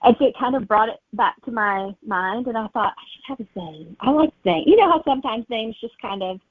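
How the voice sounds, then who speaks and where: A woman's voice: a little high, animated, fairly quick, slightly rough, dry recording. A woman author chatting casually as an interview guest, telling stories about her writing. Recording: tinny narrow-band telephone-like audio.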